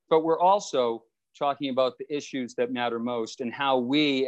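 A young man, a law student, hosting a podcast over a video call in an upbeat, playful way. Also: mid-range voice. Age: young adult. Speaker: podcast host. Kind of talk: scripted podcast intro.

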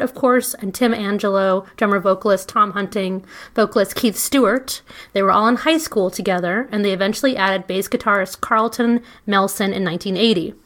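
A woman's voice: medium pitch, fairly bright tone. A middle-aged woman co-hosting a casual podcast, telling a band's history with chatty asides and frequent ums. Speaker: podcast co-host.

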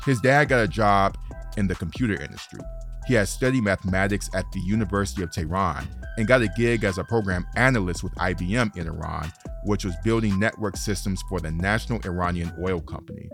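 A man with a very low, deep voice reads the narration as the show's host. He keeps a measured, steady pace through the script, and faint music plays underneath him. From Detroit, United States.

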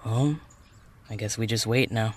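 A man acting in an audio drama as a supportive, casual teammate who speaks gently and frankly. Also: fairly low pitch, unhurried, slight background noise, close to an everyday voice. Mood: resigned. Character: supportive, casual male teammate waiting at base. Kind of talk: naturalistic fiction podcast acting.

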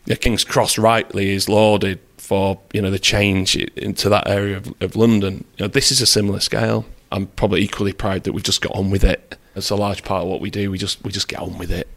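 A man being interviewed as a guest, speaking in a measured, candid way with self-deprecating asides. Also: deep voice, flat delivery, vocal fry, a bit bright. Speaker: property developer, interview guest. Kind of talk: conversational interview.

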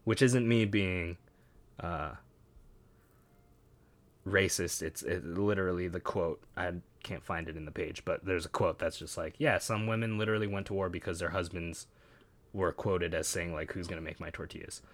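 Male podcast co-host, lowish voice, dry close mic, reads from a page with wry asides. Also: moderate pace, dry recording. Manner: reads passages aloud and breaks off with wry asides. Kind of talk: casual chat, reading aloud from a web page.

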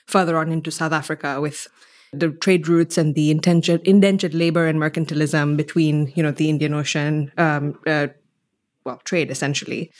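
Young woman, slightly low pitch, reflective, articulate, interview guest, personal storytelling. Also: fairly even intonation, moderate pace. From Mombasa, Kenya. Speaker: podcast guest, theatre artist.

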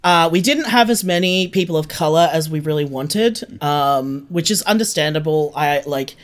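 A woman's voice: deep voice, normal speed. A woman talking casually as an interview guest, candid and emphatic in making points. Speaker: interview guest, audio drama creator.

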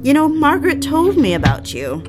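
Woman acting haughty, boozy young wife, high-pitched and sarcastic, period audio drama with music underneath. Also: wildly swooping pitch, normal speed. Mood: agitated, taunting. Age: young adult. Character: bitter, drunk, proud young married woman.

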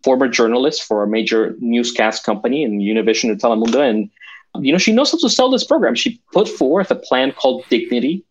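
A man's voice: mid-range voice, animated, brisk, lo-fi sound. A man from Venezuela talking passionately about politics, with frequent restarts and fillers. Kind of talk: political discussion.

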